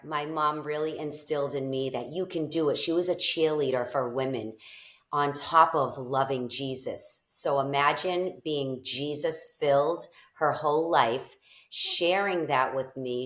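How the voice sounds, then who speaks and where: A woman's voice: deep voice, a bit bright. A middle-aged woman from Boston with a strong Boston accent, talking as an interview guest in a bubbly, effusive way. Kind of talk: casual interview chat. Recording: echoey room.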